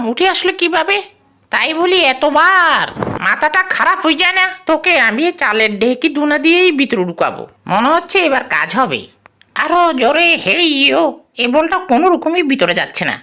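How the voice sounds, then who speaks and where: A performer with a woman-like voice plays an excitable, boastful cartoon cat who talks to itself in dramatic exclamations. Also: high-pitched, slight background noise, heavily acted. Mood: frustrated effort. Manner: exclamatory, dramatic, talking to self. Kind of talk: cartoon dub, comic monologue.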